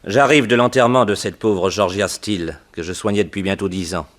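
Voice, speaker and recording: Guy playing a polite doctor, lowish voice, measured, old radio mystery style. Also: close to an everyday voice. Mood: measured, concerned. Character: measured, courteous bachelor doctor and researcher. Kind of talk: 1960s French radio detective drama acting.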